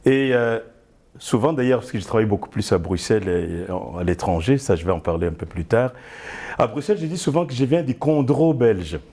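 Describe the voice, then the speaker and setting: A man in his fifties from the Democratic Republic of the Congo, a guest talking about his acting career in a relaxed interview, often chuckling as he tells his story. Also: mid-range voice. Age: 50s. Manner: chuckles often, speaks in measured storytelling phrases. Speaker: actor and theatre director, guest.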